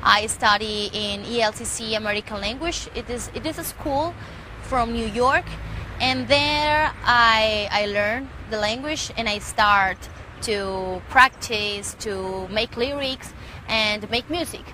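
Bright Colombian-accented young woman. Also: fairly high pitch, slow pace, a little hiss or hum, poor audio. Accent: Colombian Spanish. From Colombia, Medellín. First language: Spanish. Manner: earnest, gropes for words in English. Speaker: singer. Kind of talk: casual interview.

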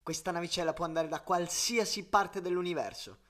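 A man's voice: high voice, steady tone, brisk, heavy background noise, close to an everyday voice. A man voicing an arrogant young alien bully in a children's cartoon, boastful and sneering. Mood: mocking, boastful.

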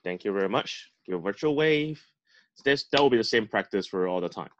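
A man's voice: on the low side, expressive, a little fast, a bit bright, dry recording, lo-fi sound. A man hosting an online club meeting over a video call, introducing panelists in an upbeat emcee manner. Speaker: host.

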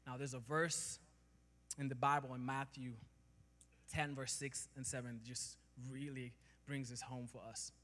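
A man in his thirties from Kenya, preaching a sermon on stage with a handheld mic, building to loud emphatic peaks. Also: mid-range voice, flat delivery, a little slow. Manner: preaching with rising emphasis, gesturing while walking the stage. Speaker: pastor. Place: large church stage, indoors. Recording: long hall reverb.

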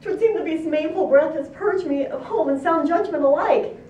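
A panicky little storybook pig, acted out by a woman, squealing very high. The speech is fussy, pompous and full of archaic flourishes, heard in a roomy, echoing space. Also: normal speed, warm tone, dull audio, lightly acted. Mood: scandalized. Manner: florid, archaic, over-formal wording. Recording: roomy echo, distant mic, faint noise.

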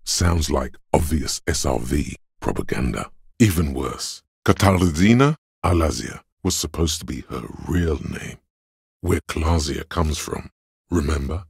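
Very deep, gravelly voice of logic. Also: male voice, lively intonation, darkish tone, dry recording, clean studio sound, exaggerated voice. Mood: dismissive. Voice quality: gravelly, husky. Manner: measured, deliberate, dryly condescending. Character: inner voice of cold, dry deductive logic. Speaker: voice actor. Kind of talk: video game voice-over, scripted narration. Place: dry studio recording.

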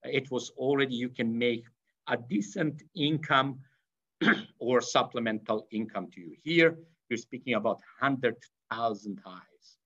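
Accented professor on a slightly muffled video call. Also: male voice, mid-range voice, a little slow, pushed and bright, breathy edge. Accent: Eastern European. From Yugoslavia. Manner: hesitant, many fillers and restarts. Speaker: veterinary professor. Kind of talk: online lecture. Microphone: video call webcam.

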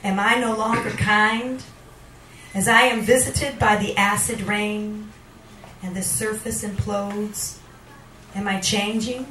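A woman reading poetry live at a small venue, with a dramatic, deliberate delivery, recorded with a handheld microphone in a roomy space. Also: mid-range voice, steady tone, bright, forceful tone, far from the mic, a little hiss or hum. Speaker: poet reading at a poetry night. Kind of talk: live spoken-word poetry reading with casual asides. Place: small wood-panelled indoor bar venue. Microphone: handheld stage microphone, picked up from a camera.

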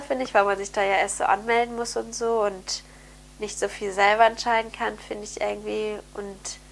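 A young woman with a cold and a nasal, slightly hoarse voice, chatting upbeat to camera in her bedroom. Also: medium pitch, a little hiss or hum. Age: young adult. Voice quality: slightly hoarse, throat clearing. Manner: chatty, upbeat, many fillers. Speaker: vlogger, au pair. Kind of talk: casual chat to camera. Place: bright bedroom indoors. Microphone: camera mic at close framing.

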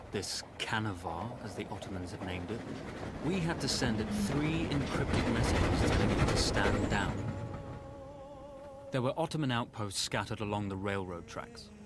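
An actor as a calm, wry British officer. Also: male voice, on the low side, heavy background noise, close to an everyday voice. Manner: measured, dry and wry. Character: calm, wry British officer, male, young, leading rebels. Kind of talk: scripted game narration and dialogue. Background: game score music.

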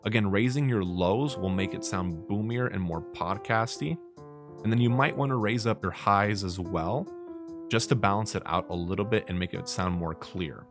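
A young man in his twenties with a low voice, talking through step-by-step software settings on a studio mic in a small bedroom studio. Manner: steady step-by-step instructions. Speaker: streaming tutorial creator. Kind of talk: screen-recorded software tutorial. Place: small dim bedroom studio with blue lighting. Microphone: studio mic on boom arm.

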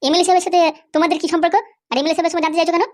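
A man voicing a bold, nosy young village lad in a comic cartoon, blunt and suspicious, with a squeaky pitched-up voice. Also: little pitch movement, very fast.

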